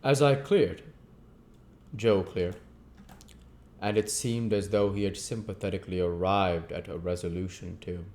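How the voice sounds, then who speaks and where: Low-pitched male storybook narrator. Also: fairly even intonation, a little slow, long pauses, darkish tone. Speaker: narrator and channel host. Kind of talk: audiobook-style reading of a classic novel.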